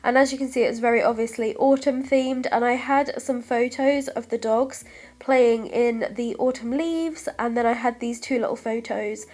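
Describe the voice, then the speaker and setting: A woman's voice: on the high side, fairly even intonation, normal speed, a little mellow. A young British woman with a stuffy, congested voice from a cold, chatting through a scrapbooking voice-over, a little short of breath and apologetic. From United Kingdom. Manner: chatty, apologetic, lots of ums. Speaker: craft vlogger.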